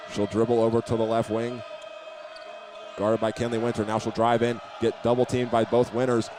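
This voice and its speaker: Low-voiced male basketball play-by-play announcer, noisy gym. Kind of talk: live sports commentary. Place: indoor basketball arena. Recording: noisy gym ambience behind voice.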